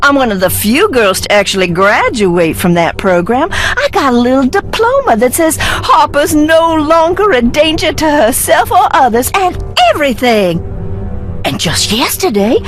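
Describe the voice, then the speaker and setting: Sardonic unhinged young heiress, high voice swooping all over, mocking game character, music behind. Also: female voice, sing-song, normal speed. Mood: wry, boastful. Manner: mocking, drawling, theatrical. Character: bitter, sardonic, unstable young heiress in hiding. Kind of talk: theatrical video game dialogue. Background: background music.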